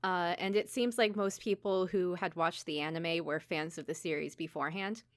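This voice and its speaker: A woman's voice: fairly low pitch, fairly even intonation, moderate pace. A woman co-hosting a podcast, chatting casually in an apologetic, giggly and hedging manner. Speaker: podcast co-host. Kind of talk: casual chat about anime.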